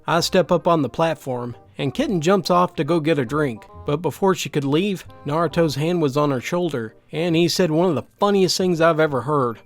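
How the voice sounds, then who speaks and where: A man narrating online stories in a lively, animated reading. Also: on the high side, moderate pace, hardly any pauses, soft background music. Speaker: storyteller.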